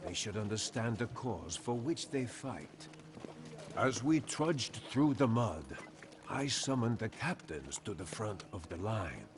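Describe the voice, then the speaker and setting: Solemn, slow-spoken Khmer general. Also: male voice, lowish voice, very animated, slight background noise. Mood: grave. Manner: grave, measured, formal storytelling. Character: honored old-world Khmer general, solemn, weathered commander. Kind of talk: dramatic scripted game narration.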